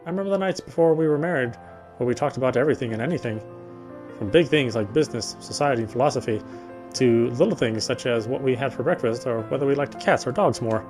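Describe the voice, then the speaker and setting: A man's voice: medium pitch, fast, music in the background. A man reading a visual novel's narration aloud as a let's player. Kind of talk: read-aloud narration of a game script.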